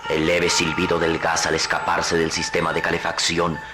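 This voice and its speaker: A man's voice: sits low, completely flat delivery, moderate pace, over music. A man narrating an old radio drama in a grave, suspenseful tone.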